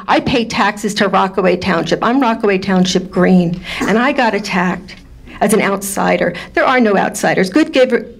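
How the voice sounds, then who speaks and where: A woman's voice: fairly high pitch, gravelly. Lady at the town council mic, big confrontational voice, pushing for answers. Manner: loud, confrontational, demanding answers. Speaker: resident speaking at public comment. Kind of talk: public comment at a council meeting. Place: township council meeting room.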